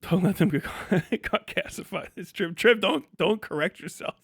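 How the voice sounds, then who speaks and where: Higher-voiced man, sweary casual streamer. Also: moderate pace, vocal fry, husky, dry recording, studio quality. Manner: sweary, irreverent, joking. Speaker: livestream host. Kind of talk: casual profane livestream commentary.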